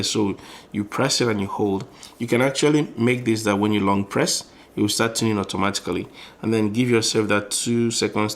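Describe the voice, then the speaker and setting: A man's voice: fairly low pitch, gravelly. A man narrating an informal hands-on tutorial in a conversational, explanatory way, often rephrasing as he goes. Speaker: electronics tutorial presenter.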